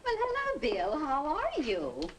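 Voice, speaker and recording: A woman's voice: high-pitched, huge pitch swings, takes it slowly, exaggerated voice. A woman playing a gracious, courteous mother in a 1950s educational film. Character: gracious, courteous mother hosting a teenage party. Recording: faintly noisy old film soundtrack.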